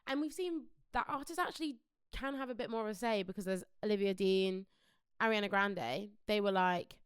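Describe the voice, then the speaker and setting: A British woman talks informally as a podcast co-host, with a close, dry sound. Her pitch is middling for a woman, and she comes across as opinionated and conversational. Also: normal speed, clean tone, no room sound. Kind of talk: casual pop culture chat.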